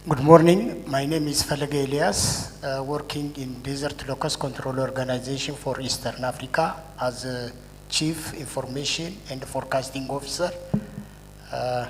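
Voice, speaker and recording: A man who works as a chief information and forecasting officer gives a brisk, matter-of-fact self-introduction. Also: mid-range voice, steady tone, faint music behind. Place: conference hall. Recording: reverberant conference hall.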